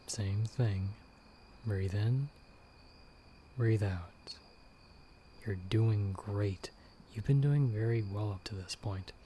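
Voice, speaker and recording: A man playing a calm, protective monster hunter in an ASMR audio roleplay, giving hushed, measured, reassuring instructions. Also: fairly low pitch, a little hiss or hum, close to an everyday voice. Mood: soothing. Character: calm, protective male monster hunter guiding someone by telepathy.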